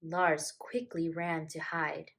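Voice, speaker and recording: A woman teacher reading a picture book aloud to young children in an expressive, emphatic, deliberate way. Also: sits low, creaky. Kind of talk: animated children's storybook narration.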